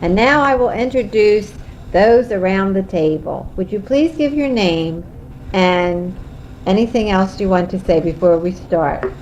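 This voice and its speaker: A woman sets the scene for a family recording in a plain, measured tone, then asks her questions. Her voice is mellow, of middle pitch, and comes from a muffled home tape with a faint hiss. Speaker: interviewer, family member. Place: home kitchen.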